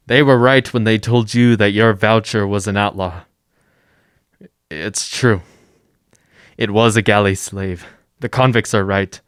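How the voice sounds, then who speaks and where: Man playing dignified ex-convict mayor, solemn, oratorical, low smooth voice, very slow, radio drama. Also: dry recording, clean studio sound.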